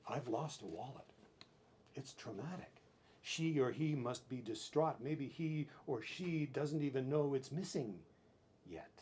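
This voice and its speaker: A man performing spoken-word poetry as a poet, with deliberate, theatrical delivery in short phrases broken by pauses, on a live-stream recording. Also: medium pitch, darkish tone, slight background noise. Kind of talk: dramatic spoken-word poetry reading, with brief chatty asides.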